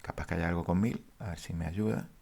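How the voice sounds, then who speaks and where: A man teaching a database lesson over a screen share, speaking haltingly with many fillers. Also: deep voice, steady tone, creaky, darkish tone. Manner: halting, many fillers, addresses viewers formally. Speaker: database instructor.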